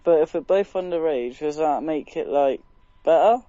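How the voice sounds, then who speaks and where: A man acting as a naive teenage lad phones in with hesitant, confused questions. His voice sits a bit high and the phone audio is slightly dull. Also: normal speed, warm tone, no room sound, natural voice. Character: naive, confused underage teenage boy caller. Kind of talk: prank phone call.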